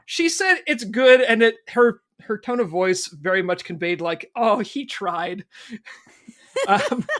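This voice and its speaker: A person chatting casually and playfully, with deliberate, emphatic phrasing. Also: mid-range voice, expressive, fairly bright tone. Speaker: podcast cast or crew member asking questions. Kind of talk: casual chat, playful interviewing and storytelling.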